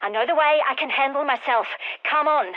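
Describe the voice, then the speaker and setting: An actress playing a determined, guarded research scientist in a video game, with an earnest, clipped delivery. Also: female voice, high-pitched, a little fast, dry recording, phone-quality sound, lightly acted. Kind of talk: scripted game character dialogue.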